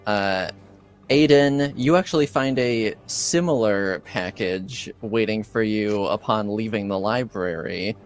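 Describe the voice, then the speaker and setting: A man running a tabletop roleplaying game as game master, narrating in a casual, halting way. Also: fairly low pitch, smooth. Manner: halting, hesitant, casual delivery. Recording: music behind the voice. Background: music.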